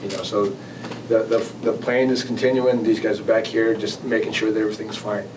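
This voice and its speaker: A man giving an informal talk as an air traffic control manager, conversational and halting, often repeating and rephrasing his words. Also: lowish voice, level tone. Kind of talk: informal presentation with audience questions. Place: club meeting room. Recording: distant mic, noisy meeting room.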